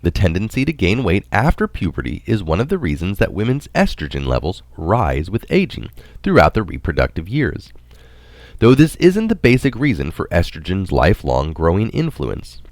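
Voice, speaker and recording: A man narrating a scientific article aloud in a deliberate, explanatory manner. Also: sits low, no echo. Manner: deliberate, marks quotations aloud.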